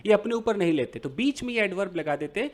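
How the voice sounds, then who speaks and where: A young man with an Indian accent teaching English to beginners, reading English phrases slowly and explaining them with many questions to his viewers. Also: high-pitched, expressive. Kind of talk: explaining a newspaper article to beginners.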